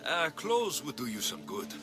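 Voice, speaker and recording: Wry hired-sword hero, mid-range voice, lively inflection, dry and self-assured game dialogue. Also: male voice, medium pitch, normal speed, over music. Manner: sardonic, dry. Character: wry, confident male mercenary hero. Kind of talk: video game cutscene acting.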